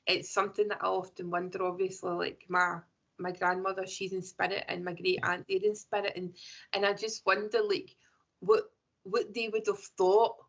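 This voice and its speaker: A woman's voice: medium pitch, level tone, normal speed, creaky, fairly bright tone, highs cut off. A woman with a Scottish accent, a tarot reader chatting casually and saying like and you know a lot. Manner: chatty, many likes and you knows. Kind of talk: casual chat.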